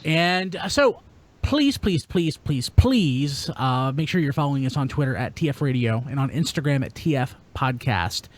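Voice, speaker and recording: A man's voice: medium pitch, animated, normal speed. A man hosting a podcast in a chatty, informal way, talking casually to listeners and co-hosts. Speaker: podcast host. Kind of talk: casual podcast talk, sign-off plugs.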